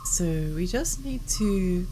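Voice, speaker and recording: A woman's voice: medium pitch, slow, dark tone, slight background noise. A woman livestreaming a video game, chatting casually and expressively, her voice worn and prone to cracking. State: tired, cracking voice from losing it. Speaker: live game streamer. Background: game music.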